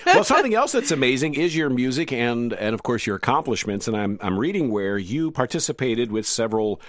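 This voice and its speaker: A man's voice: medium pitch, big pitch swings, a little fast, dry recording, clean studio sound. A man hosting an interview who asks curious questions and affirms often with short acknowledgements. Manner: curious, affirming with frequent mm-hmms. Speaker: interview host. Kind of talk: radio-style interview.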